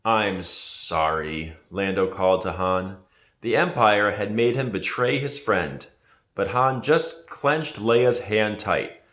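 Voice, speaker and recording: A man reading a children's story aloud as a scripted narration, in a dramatic, deliberate manner. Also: on the low side, fairly bright tone. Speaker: storybook reader. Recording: echoey room.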